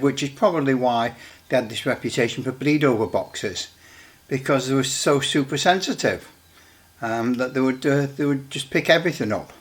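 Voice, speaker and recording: A man giving a casual demonstration as a hobbyist, thinking aloud haltingly and adding tag questions. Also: medium pitch. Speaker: radio repair hobbyist demonstrating equipment.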